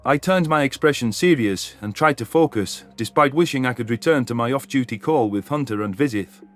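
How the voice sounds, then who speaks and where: A man's voice: mid-range voice, fairly quick. A man reading scripted first-person science fiction as a story narrator.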